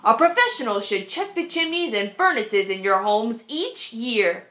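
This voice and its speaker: Woman reading as a patient schoolteacher man, emphatic, bright tone, echoey room. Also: on the high side, unhurried. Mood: instructive. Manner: instructive, steady and emphatic. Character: patient male schoolteacher, earnest lecturer.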